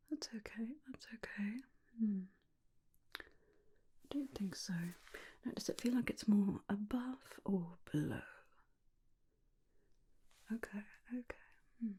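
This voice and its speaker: A woman playing a gentle, caring female vampire in an ASMR roleplay, whispering softly and soothingly with hesitant reassurances. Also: medium pitch. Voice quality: soft breathy whisper. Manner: soothing, hesitant, repeats reassurances, calls listener darling. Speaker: ASMR performer. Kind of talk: ASMR personal-attention roleplay.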